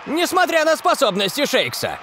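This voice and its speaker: An actor playing an excitable man in a football cartoon, with dramatic exclamations. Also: very high voice, normal speed, background noise, exaggerated voice.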